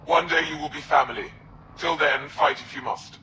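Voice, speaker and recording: A man playing a cold, scheming alien military zealot in a video game, speaking formally and deliberately with menace. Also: on the high side, steady tone, bright, forceful tone, slight background noise. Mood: haughty. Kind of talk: dramatic video game acting. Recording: echoing, with music behind.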